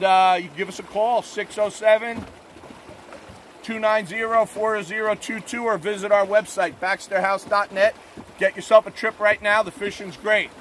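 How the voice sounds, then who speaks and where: A man in his forties giving a relaxed, plainspoken fishing report from a drift boat on a river. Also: sits high. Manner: relaxed, plainspoken, filler-heavy. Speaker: fishing guide. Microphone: camera mounted on the boat at arm's length. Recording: faint water and outdoor noise. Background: rushing river water.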